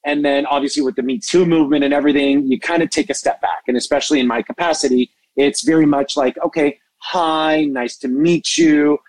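Expressive, passionate man in a dry recording. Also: fairly high pitch, a little fast, few pauses, no echo, poor audio. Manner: expressive, passionate, self-described hyper. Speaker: interview guest, entertainment media executive and mentor. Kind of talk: animated interview conversation.

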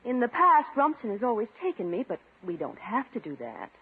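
Husky, coy radio sweetheart. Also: female voice, on the high side, wildly swooping pitch, brisk, exaggerated voice. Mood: coy, sweet. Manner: coy, polite, gently manipulative. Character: coy, genteel woman, sweetly wheedling admirer. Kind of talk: 1950s radio sitcom acting. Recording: dull, muffled 1950s radio recording.

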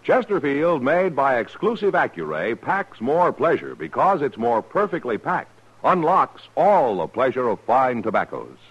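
A man's voice: mid-range voice, big pitch swings, unhurried. An American man working as a radio announcer, reading scripted copy in 1950s broadcast style. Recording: muffled 1950s radio broadcast, music behind.

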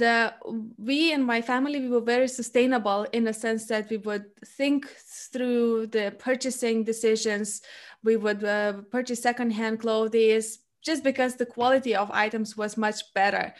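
Hesitant young woman, higher voice. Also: fairly even intonation, normal speed. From Lithuania. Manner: hesitant, many filler sounds. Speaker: podcast host. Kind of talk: casual interview conversation.

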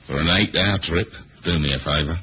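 A male actor playing a laconic, womanizing British spy in a 1970s radio drama, deadpan and casual with a British accent. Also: rumbling low, normal speed. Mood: wry. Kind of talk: 1970s radio drama, first-person spy narration and dialogue. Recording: dull lo-fi old radio recording, hiss.

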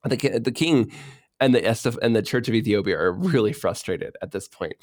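Man, podcast co-host, low voice, casual storytelling, dry close sound. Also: a little fast, dry recording. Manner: animated retelling with voiced quotes and fillers. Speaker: podcast co-host telling church history.

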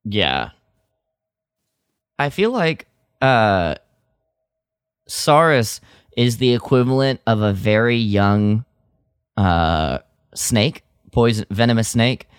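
A man with a slightly low voice, recorded dry with no room sound. He talks casually as a podcast co-host, explaining his thoughts in a conversational way. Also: animated, slow pace, pauses often, smooth, dry recording.

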